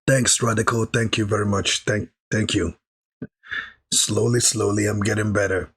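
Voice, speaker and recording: Hoarse guy on a live reaction stream, lowish rough voice, kinda raspy, chats with viewers. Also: steady tone, moderate pace, fairly bright tone. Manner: chatty, thanks chat viewers between remarks. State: hoarse, recovering voice.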